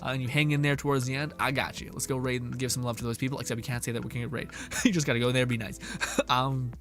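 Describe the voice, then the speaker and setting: A man streaming and chatting casually with viewers, rambling with many restarts, his voice a little hoarse. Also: mid-range voice, steady tone, very fast, a bit bright, soft background music. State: slightly hoarse. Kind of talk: casual stream chat, reading chat.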